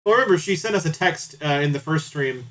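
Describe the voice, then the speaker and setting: A man's voice: fairly high pitch, lively intonation, fast, fairly bright tone. A man doing casual live game commentary, chatty and playful as he talks to his viewers. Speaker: live streamer.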